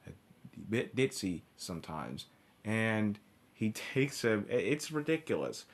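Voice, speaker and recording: A man's voice: lowish voice, big pitch swings. A man giving informal, ranting review commentary, blunt and profane, often backtracking and restarting his sentences. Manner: halting, with repeated restarts and backtracking. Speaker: cartoon episode reviewer.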